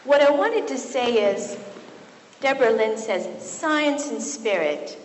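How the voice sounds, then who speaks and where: A middle-aged woman from Kenya, a physician giving a lecture to an audience in a university hall, telling stories with lively asides. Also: a little high, slow. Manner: warm and storytelling, with lively asides. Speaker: physician and speaker. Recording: echoey hall, faint noise.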